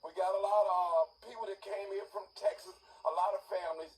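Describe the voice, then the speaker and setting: A man's voice: high voice, fairly even intonation, fast. A man hosting a live unscripted talk show, earnest and insistent, repeating his points like a preacher. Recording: distant mic with background noise.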